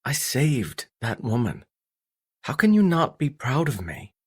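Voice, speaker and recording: A man's voice: mid-range voice, wildly swooping pitch, unhurried, husky, voice pushed up, heavily acted. A man voicing a grown son in an audiobook who is proud, hurt and challenging, with an earnest, pressing way of talking.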